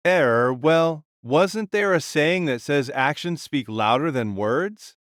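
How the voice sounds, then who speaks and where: A man's voice: fairly high pitch, animated, slow pace, a bit bright, no room sound, clean studio sound. A man playing a bulky, boastful, jovial conqueror king in an audio drama, grand and amused in manner.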